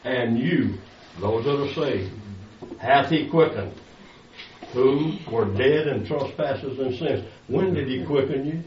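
A man's voice: medium pitch, slow, a little hiss or hum. An older man preaching a sermon, pressing his listeners with rhetorical questions. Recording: echoey, distant, dull lo-fi sound.

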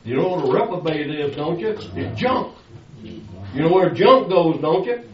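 A man's voice: on the high side, lively intonation, normal speed. An older man preaching a sermon, pressing his listeners with rhetorical questions. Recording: echoey, distant, dull lo-fi sound.

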